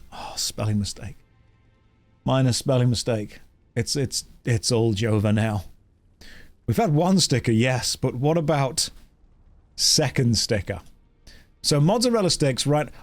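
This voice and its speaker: Wry British man streaming, jokey. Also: medium pitch. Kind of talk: casual livestream chat running chat polls.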